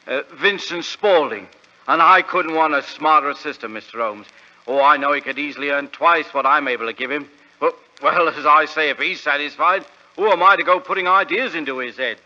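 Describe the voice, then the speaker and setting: Dull old radio sound, blustery middle-aged British shopkeeper, highish very sharp voice, hesitant, naive. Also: male voice, a little fast. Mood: earnest, rambling. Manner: hesitant, blustery, plain-spoken. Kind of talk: 1940s radio drama acting. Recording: dull vintage radio recording.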